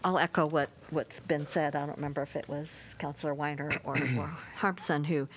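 A woman's voice: deep voice, steady tone, a little fast, noisy background. A woman council member giving earnest remarks at a public meeting, often repeating her words as she goes.

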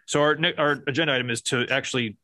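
A man chairing a city commission meeting, running motions and votes in a halting, procedural way. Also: medium pitch, steady tone, bright, forceful tone, no room sound.

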